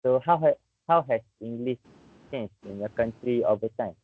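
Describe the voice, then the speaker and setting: A man's voice: mid-range voice, expressive, moderate pace, dry recording. Man interviewing over tinny phone-like audio. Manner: hesitant, repeats words. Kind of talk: casual interview questions.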